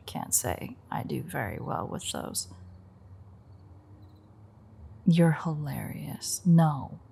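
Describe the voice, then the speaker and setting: Husky, creaky, teasing vampire woman, acted. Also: sits low, a little mellow, exaggerated voice. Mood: defensive, wry. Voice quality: husky, gravelly, breathy. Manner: dry, teasing, sarcastic. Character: teasing, sardonic female vampire mechanic, unrepentant man-eater. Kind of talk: audio roleplay acting, intimate one-sided dialogue.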